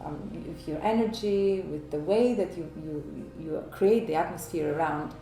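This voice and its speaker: A woman hosting an interview in a guest's flat, chatty and asking long, rambling questions. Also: on the low side, normal speed, soft background music. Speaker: interview host. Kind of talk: casual interview conversation. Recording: echoey room with faint noise.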